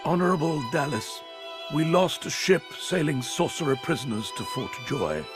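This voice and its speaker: An actor playing a formal, grave high judge in a fantasy game, narrating an official letter. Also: male voice, lively intonation, normal speed, over music, natural voice.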